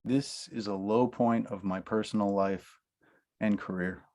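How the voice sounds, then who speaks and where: A man's voice: lowish voice, fairly even intonation, measured pace, darkish tone. A man reading a blunt Hollywood agent in a comedic table read, crude and mocking, often laughing. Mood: gleeful.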